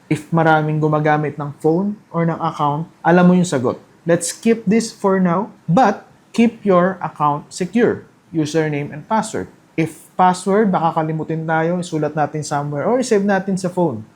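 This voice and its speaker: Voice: mid-range voice, moderate pace, a little mellow. A presenter with a Filipino accent explains a product step by step in a casual, conversational and instructional way.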